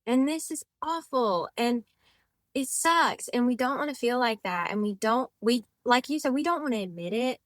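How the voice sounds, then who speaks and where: A woman's voice: fairly high pitch, lively intonation, normal speed, dry recording, clean studio sound. A woman in her twenties, a podcast guest and author, talking in a chatty, rambling way with lots of "like" fillers and self-corrections. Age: 20s. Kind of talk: casual conversational interview.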